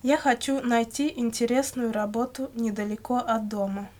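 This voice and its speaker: A woman reading scripted language-course questions and answers in a measured, carefully enunciated way. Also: fairly high pitch, fairly even intonation, darkish tone. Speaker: language lesson reader.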